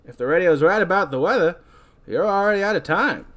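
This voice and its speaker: Man acting a wry, needling old ferry captain, pitched up. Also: animated, a little fast, a bit bright. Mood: mocking. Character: older male ferry captain, gruff and mocking. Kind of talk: film script read-through on a podcast.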